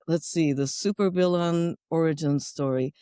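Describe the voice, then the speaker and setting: Middle-aged Japanese-accented woman guest; warm low-key tone, wry, measured delivery. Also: clear, resonant tone, no room sound, studio quality. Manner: thoughtful, wry, list-like phrasing. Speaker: guest sexologist and educator. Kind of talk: conversational interview answers.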